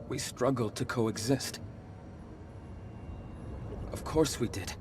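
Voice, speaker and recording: An actor playing an ancient monster leader who was once human, speaking gravely, with measured, earnest persuasion in a video game cutscene. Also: male voice, medium pitch, close to an everyday voice. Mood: regretful, solemn. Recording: background music under the voice.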